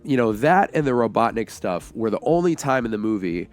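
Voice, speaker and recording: A man's voice: medium pitch, sing-song, fairly quick. A man co-hosting a casual film discussion, talking in a conversational, rambling way and often acting out imagined lines. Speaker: podcast co-host reviewing a film.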